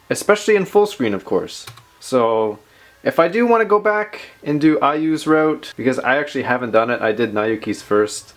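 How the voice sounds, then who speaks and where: A man hosting a casual tech and gaming vlog, talking informally with slangy, self-deprecating commentary. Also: medium pitch. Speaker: video host. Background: background music.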